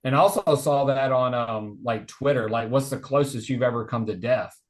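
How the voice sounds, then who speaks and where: A man in his forties talks casually over a video call, his voice sitting at an ordinary middle pitch. He wanders through his stories earnestly and rambles a little as he goes. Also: normal speed. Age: 40s. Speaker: podcast guest. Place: home, remote video call. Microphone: video call microphone.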